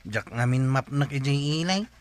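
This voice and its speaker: An actor with an Ilocano accent playing a plain-spoken, put-upon driver in a radio comedy drama. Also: male voice, mid-range voice, expressive, moderate pace, very noisy, lightly acted. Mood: everyday, matter-of-fact.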